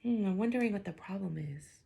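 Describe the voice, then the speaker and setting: A woman with heavy vocal fry reads a children's story aloud. She is expressive in her delivery and breaks off now and then to muse aloud like a teacher. Her pitch sits in an ordinary range for a woman. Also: a little fast. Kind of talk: children's picture book read-aloud with wondering asides.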